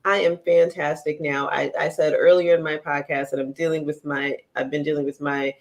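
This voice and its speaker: A woman's voice: low voice, level tone, brisk. A bubbly, playful woman hosting a podcast, chatting casually with her guest while recovering from an illness. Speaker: podcast host. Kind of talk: casual interview chat.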